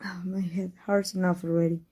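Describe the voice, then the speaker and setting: Woman acting a husky, dark-voiced tender villainess. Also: fairly low pitch, level tone. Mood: soft, affectionate. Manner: halting, breathy, emotional delivery. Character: tender, guarded villain woman confessing love to her rival. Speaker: voice actor. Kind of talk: intimate ASMR roleplay acting.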